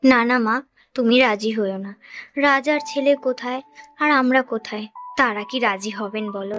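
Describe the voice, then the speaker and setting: A high-voiced young village girl, acted by a woman, kind and humble, for a fairy-tale cartoon. She speaks earnestly in simple storybook fashion, with faint music playing underneath. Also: moderate pace, fairly bright tone, lightly acted.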